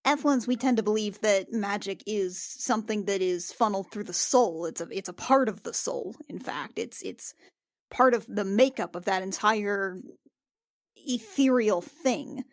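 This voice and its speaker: A woman acting out an awkward, protective fantasy warrior in a tabletop game. The speech is halting and wry, easily flustered, at a medium pitch with a close, dry sound. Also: no echo, natural voice. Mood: halting, concerned.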